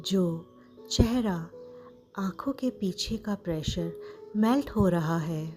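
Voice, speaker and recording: An Indian woman narrating a guided meditation in a soothing, gentle manner, leaving frequent pauses between short phrases. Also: fairly low pitch.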